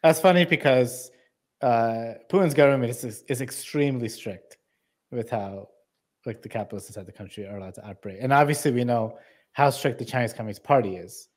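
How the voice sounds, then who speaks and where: A man's voice: mid-range voice, fairly quick. A man co-hosting a casual political livestream, interjecting with conversational, analytical commentary. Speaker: show co-host. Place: indoor room, livestream video call.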